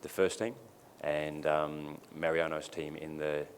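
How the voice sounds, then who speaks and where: A man's voice: a bass voice, flat delivery, a little slow. A male club official moderating a press conference and answering a question in a loose way. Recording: distant reverberant room with faint noise.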